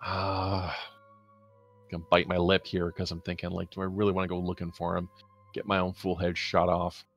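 Gravelly guy playing a tabletop game, sighs a lot, muses out loud, faint music. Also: low-pitched, completely flat delivery, moderate pace. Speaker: player. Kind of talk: in-character tabletop play.

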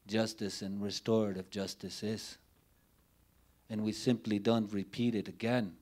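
A middle-aged man telling personal stories as a panelist at a public forum, in a deliberate, halting way with short phrases and pauses, into a handheld microphone. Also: fairly low pitch, warm tone. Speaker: panelist speaking at a community forum. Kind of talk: unscripted public speech, personal storytelling. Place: indoor forum room, before a banner. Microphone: handheld microphone held near the mouth.

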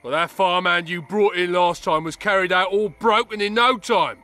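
Officious guard, game character, brusque, high pitch, light music behind. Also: male voice, fairly even intonation, moderate pace, bright, forceful tone. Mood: wary. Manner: brusque, challenging. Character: suspicious, officious male gate guard.

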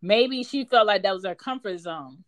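A woman's voice: medium pitch, expressive, moderate pace, a bit bright, a little hiss or hum. Candid woman talking straight on a podcast. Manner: candid, blunt, swears freely. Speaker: podcast host. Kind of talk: casual podcast chat.

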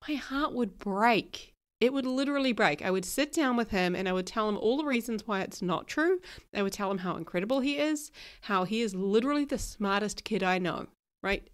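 A woman's voice: mid-range voice, expressive, fairly quick. Kiwi woman podcasting, bit nasally from a cold, chatty and blunt, swears a little. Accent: New Zealand. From New Zealand. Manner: frank and chatty, swears casually, laughs often. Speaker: podcast host. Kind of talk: casual podcast monologue.

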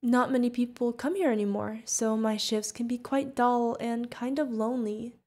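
A woman's voice: fairly high pitch, steady tone, moderate pace, a little mellow, natural voice. A woman playing a sweet, curious, friendly feathered alien bartender in an ASMR roleplay, chatting directly to the listener. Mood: sweet, delighted.

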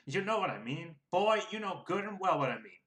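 A man reading aloud, voicing a loud, bullying, heavyset small-town official who barks his questions. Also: big pitch swings, a little fast. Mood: badgering. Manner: booming, barking questions. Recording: roomy echo.

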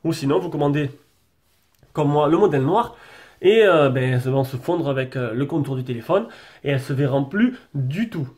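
A man's voice: a little high, expressive, moderate pace, darkish tone, breathy edge. Stuffy-nosed French man reviewing a phone. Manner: chatty, hesitant, many fillers and restarts. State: has a head cold, talks through his nose. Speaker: tech reviewer. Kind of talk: casual phone review to camera. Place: desk setup with a branded backdrop, indoors.

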